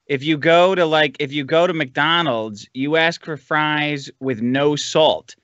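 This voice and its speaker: Brash American man, very bright forceful voice. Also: fairly high pitch, takes it slowly, dry recording, dull audio. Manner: profane, brash. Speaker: podcast guest. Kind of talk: casual chat, joking banter.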